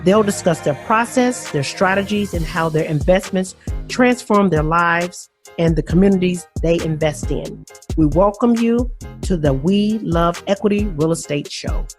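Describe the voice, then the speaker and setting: A woman reading a scripted show introduction as announcer, smooth and measured. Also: lowish voice, music in the background.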